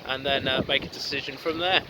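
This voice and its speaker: A man narrating his solo sailing trip in a relaxed, hesitant way with plenty of fillers. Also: mid-range voice, brisk. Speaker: solo sailor vlogging. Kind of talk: casual vlog narration. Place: aboard a small sailing yacht at sea. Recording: loud wind noise on the mic. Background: wind and boat noise.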